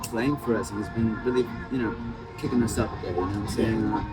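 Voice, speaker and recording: A man's voice: lowish voice, steady tone, fast talker. A man from Peru, a band member, chatting loosely in an interview outdoors on the steps of a party, with slang and plenty of swearing. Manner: loose, profane, streetwise slang. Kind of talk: casual chat. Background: party music.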